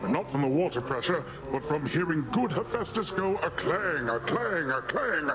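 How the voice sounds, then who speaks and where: A man's voice: on the high side, animated, moderate pace, natural voice. A man acting a boastful, goofy middle-aged sea god uncle in a video game, with a grand, jovial theatrical delivery. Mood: jovial grumbling.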